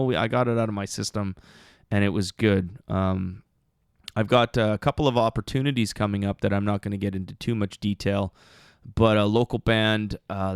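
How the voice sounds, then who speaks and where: Male podcast host, low and gravelly, mousy punk voice, rambling casual delivery with lots of fillers. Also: no room sound, studio quality. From Canada. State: voice still recovering from screaming at a show. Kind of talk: casual chat.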